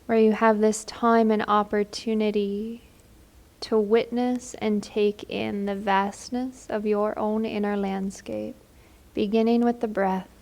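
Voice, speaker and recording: A woman leads a guided meditation at a very slow pace. Her delivery is gentle and soothing, with little rise or fall in a mid-range voice. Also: mid-range voice, flat delivery.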